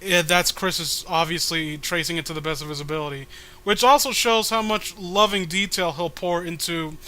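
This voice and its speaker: A man with a sharp, forward voice set a little high talks in a loose, rambling way. He is a co-host giving mocking commentary, sarcastic and crude in his wording. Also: moderate pace. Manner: sarcastic, rambling, foul-mouthed. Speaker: co-host reading a webcomic aloud with commentary.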